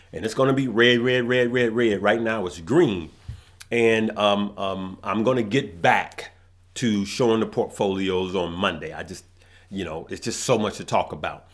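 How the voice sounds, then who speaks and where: A man hosting a stock market show, talking casually to viewers and saying he is losing his voice, rambling with restarts and tagging his points with "right?". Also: fairly low pitch. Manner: rambling, restarts phrases, tags lines with "right?".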